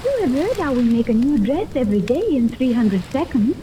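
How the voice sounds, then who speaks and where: High-voiced, earnest young girl. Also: very animated, a little fast, warm tone, poor audio. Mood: earnest, matter-of-fact. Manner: formal, precise, literal-minded speech. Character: earnest, sheltered young girl raised among cold scientists. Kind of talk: 1930s radio serial acting. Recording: hissy old 1930s radio recording.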